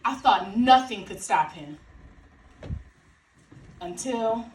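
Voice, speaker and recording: Actress as devout female disciple, stage monologue. Highish pitch, very slow delivery, roomy distant recording with faint noise. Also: expressive, a little mellow. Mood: sorrowful. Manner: slow, deliberate, theatrical pauses. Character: devout female follower of Jesus, grieving then triumphant. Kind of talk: dramatic Easter monologue before a congregation.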